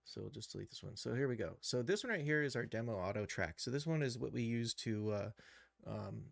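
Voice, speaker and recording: Gravelly man giving a livestream tutorial. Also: mid-range voice, dry recording. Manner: halting, thinking aloud. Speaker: livestream host and game developer. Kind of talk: unscripted software tutorial livestream.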